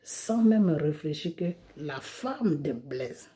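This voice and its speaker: Indignant woman with a very dark voice. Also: sits low, animated, slow. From Côte d'Ivoire. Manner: indignant, hammering rhetorical questions. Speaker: video creator. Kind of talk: ranting monologue to camera. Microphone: phone or camera mic.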